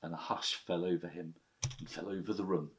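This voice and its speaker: Male storyteller, low voice, expressive bedtime-story reading, noisy recording. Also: a little fast. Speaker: headteacher reading stories to children. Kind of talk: animated storybook narration.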